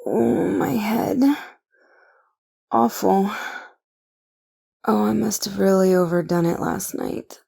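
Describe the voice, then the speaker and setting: A woman's voice: mid-range voice, takes it slowly, vocal fry, pauses often, warm tone, husky, heavily acted. A woman acting in an audio drama as a dazed, hungover, melodramatic woman who is plaintive and tender. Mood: groggy, pained. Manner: groggy, plaintive, emotional.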